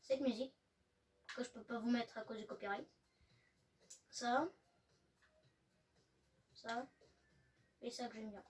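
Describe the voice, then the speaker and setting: A woman's voice: fairly high pitch, steady tone, slow, long pauses. A woman co-hosting a gaming video, chatting casually with lots of hesitant fillers. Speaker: gaming channel co-host. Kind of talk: casual gameplay chat. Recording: faint noise, distant from mic.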